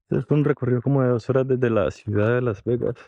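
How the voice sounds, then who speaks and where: A man with a hoarse, slightly rough voice records a travel vlog out in the desert. He talks at a mid pitch, chatty and thinking aloud to the viewers. The sound is dry, with little room around it. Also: quick pace, dark tone, dry recording, highs cut off. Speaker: travel vlogger. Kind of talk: casual on-location vlogging. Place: outdoors in a desert national park.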